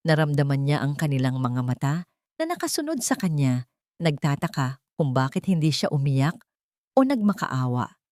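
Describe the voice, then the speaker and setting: Low-voiced woman narrating a dramatic story. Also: big pitch swings, moderate pace, warm tone, no room sound, clean studio sound.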